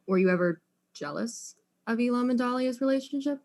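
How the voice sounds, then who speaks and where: An actress playing a blunt, exasperated detective in a comic audio mystery, speaking in a dry, no-nonsense way. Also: female voice, fairly high pitch, lively intonation, a little fast, a little mellow. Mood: probing. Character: blunt, tough, exasperated detective questioning suspects.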